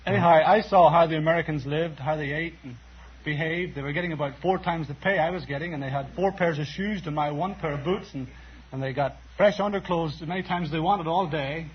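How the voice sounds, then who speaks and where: A man's voice: on the high side, brisk, a little hiss or hum. A young man from Ireland with a Donegal accent, a quiz contestant talking earnestly and politely. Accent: Irish, Donegal. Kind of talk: casual chat. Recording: lo-fi 1950s radio broadcast.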